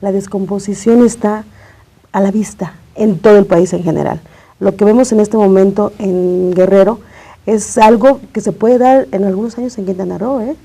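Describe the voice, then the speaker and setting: Dark, husky-voiced Mexican woman. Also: medium pitch, fairly even intonation, moderate pace. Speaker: journalist, interview guest. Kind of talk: conversational political commentary. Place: TV studio.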